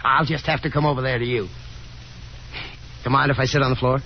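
An actor playing an affable young American gentleman caller, easygoing and polite with chuckly hesitance, in a 1950s radio drama. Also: mid-range voice, fairly even intonation, normal speed, lightly acted. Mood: friendly, coaxing. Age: young adult. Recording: muffled, noisy 1950s radio recording.

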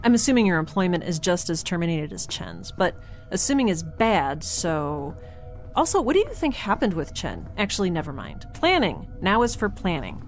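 A woman playing a quirky, excitable young woman in a space audio drama, chatty, wry and rambling. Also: on the low side, moderate pace, soft background music. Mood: eager, wry.

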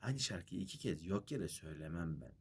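A man's voice: low voice, lively intonation, a little fast, darkish tone, slightly rough, exaggerated voice. A man voicing a proud magical bird from a fairy tale, sing-song in verse and firm in bargaining.

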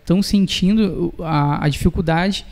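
A man's voice: sits high, normal speed, a little mellow. A young man with a southern Brazilian accent chatting casually as a guest musician into a close podcast mic. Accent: southern Brazilian (gaúcho). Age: young adult. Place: small indoor podcast studio. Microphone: close studio mic on a boom arm.

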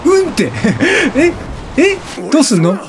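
A man hosting a let's play with excited, exclamatory commentary, often repeating words in bursts. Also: very high voice, unhurried, background noise. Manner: laughs often while talking.

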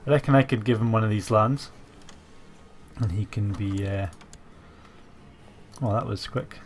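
A man's voice: on the low side, measured pace. A man with a stuffy cold, musing aloud with fillers as he hosts strategy game commentary, with keyboard typing behind him. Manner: musing aloud while playing, many fillers. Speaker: gaming channel host.

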